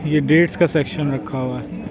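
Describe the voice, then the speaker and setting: A young man giving a relaxed, chatty walkthrough of a buffet, filming himself on a phone at arm's length. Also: a little high, moderate pace, very noisy. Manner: relaxed, chatty, pointing things out as he walks. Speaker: food vlogger. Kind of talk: casual walkthrough vlog. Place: outdoor buffet at a waterfront restaurant. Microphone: phone camera held at arm's length. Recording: dull lo-fi sound with crowd chatter.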